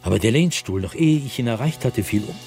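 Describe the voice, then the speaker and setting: An actor playing a haunted, fearful diarist sliding into madness in a dramatic, intense radio drama monologue, at times breathy and whispering. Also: male voice, mid-range voice, animated, fairly quick, a little mellow, soft background music. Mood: furious, alarmed.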